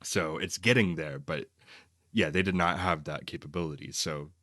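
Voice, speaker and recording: A man with a deep voice, recorded very dry and close. He talks about films as a podcast co-host in a casual, enthusiastic style, wandering from point to point. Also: normal speed, bright, forceful tone, dry recording. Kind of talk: casual film discussion.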